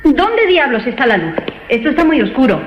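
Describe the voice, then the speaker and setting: Lively young woman in echoing reverb. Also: sits high, a little fast, over loud music. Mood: uneasy. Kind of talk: radio horror drama acting. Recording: muffled, reverberant radio drama recording.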